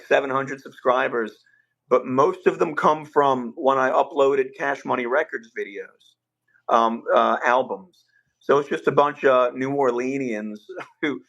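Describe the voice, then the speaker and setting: A young man hosting a livestream, telling stories in a relaxed, rambling way with filler words, his voice a bit rough. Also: medium pitch, dull audio. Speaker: livestream host. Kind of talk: casual livestream chat.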